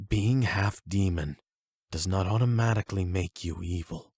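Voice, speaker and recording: Man performing male therapist, erudite, measured, formal, lowish pitch, dry close recording, anime roleplay. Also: no room sound. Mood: calm, lecturing. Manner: measured, formal, probing, gentle. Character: calm, erudite male therapist and demi-human expert. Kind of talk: anime audio roleplay acting.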